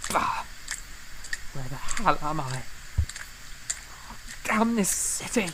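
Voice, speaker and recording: Casting: a woman as irritable drunken young man, low and slow, blustering audio-drama delivery with big swings. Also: animated, noisy background. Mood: angry, grumbling. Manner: blustering, exclamatory, groaning.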